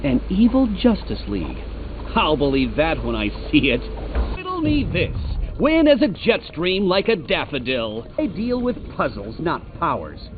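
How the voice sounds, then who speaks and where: Game trickster villain, a boastful riddle fanatic: highish, lively sneer, muffled capture with faint noise. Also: male voice, unhurried. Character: cocky, mocking male riddle-loving supervillain trickster. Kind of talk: video game villain voice acting.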